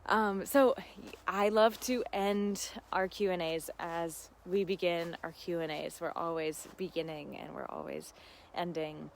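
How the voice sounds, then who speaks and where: Earnest woman livestream host, quick to laugh. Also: on the low side, steady tone, vocal fry, slight background noise. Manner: earnest, heartfelt; effusive, often laughing while talking. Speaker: host of a live Q&A. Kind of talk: casual livestream talk.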